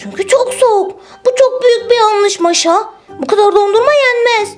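A woman voicing a big, fatherly bear toy in a children's story, gently reproachful and admonishing. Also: extremely high pitch, normal speed, soft background music.

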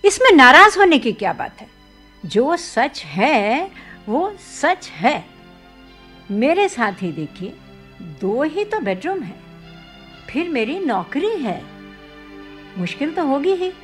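An actress playing a frank, troubled working wife and mother in a family radio play, speaking in a measured, pointed way. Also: sits high, sing-song, fairly bright tone, soft background music, heavily acted. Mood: frank, concerned. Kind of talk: Hindi radio play acting.

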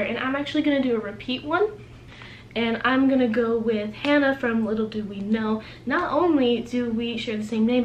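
A roomy, echoing recording with faint noise carries a young woman's fairly high voice. She speaks in the informal, chatty style of a book vlogger, conversational and unscripted. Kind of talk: casual chatty video blog.

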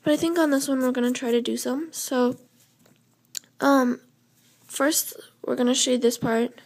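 A young woman with a slightly hoarse voice, chatting loosely through a step-by-step drawing tutorial while she sketches. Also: sits high, fairly even intonation, a little slow, darkish tone. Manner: chatty, loose, with fillers and self-corrections. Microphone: camera over the drawing paper, speaker off frame.

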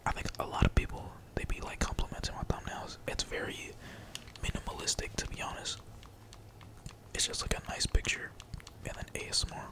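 A man whispering casual, slangy and sweary ASMR gaming commentary in a breathy, playful mutter, as a streamer talking to viewers. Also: fairly quick, poor audio. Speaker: gaming streamer, channel host.

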